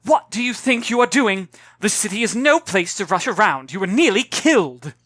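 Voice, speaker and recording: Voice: medium pitch, animated, moderate pace, a bit bright, no room sound, voice pushed up. A narrator voicing a worried mother in a storybook, scolding her child in an exasperated, emphatic way.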